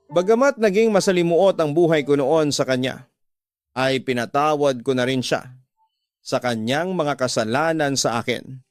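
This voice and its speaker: A man's voice: a little high, clear, resonant tone, dry recording. A man narrating a letter in the first person for a radio drama, in a dramatic scripted style. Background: background music.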